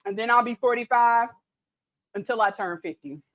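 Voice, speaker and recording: A woman's voice: fairly high pitch, moderate pace, lo-fi sound. A woman, chatting casually on her cooking livestream, reading viewer comments aloud and laughing easily, a little tipsy. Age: late 30s. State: tipsy from mimosas.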